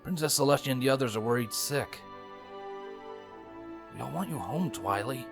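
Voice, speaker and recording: A protective big-brother unicorn stallion played by a man speaks in a middle-pitched voice with music behind him. He is devoted, emotional and emphatic, a character in a fantasy storybook. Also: lively intonation, normal speed, gravelly. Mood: reassuring. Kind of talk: audiobook character voice in fan fiction.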